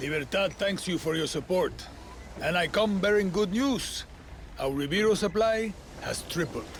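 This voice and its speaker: Gravelly, sly rebel spymaster. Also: male voice, high voice, animated, moderate pace, a little hiss or hum. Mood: smooth, guarded. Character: sly, gravelly, swaggering male guerrilla spymaster. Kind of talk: video game cinematic acting. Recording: music behind the voice.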